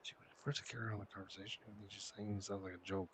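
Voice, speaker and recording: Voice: low voice, little pitch movement, very fast, slight background noise. A person giving casual reaction commentary while playing through a story game.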